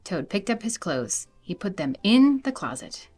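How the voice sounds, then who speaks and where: A woman reading a children's storybook aloud in an animated narrating style. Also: medium pitch, a little slow, faint music behind. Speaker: storybook reader.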